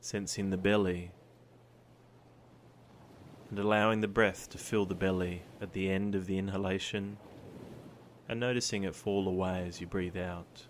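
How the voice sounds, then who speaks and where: A man guiding a meditation in a calm, soothing, gentle manner, with long pauses between his instructions. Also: low voice, a little hiss or hum. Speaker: meditation guide. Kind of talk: guided body scan meditation. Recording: surf sounds behind the voice. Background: ocean surf ambience.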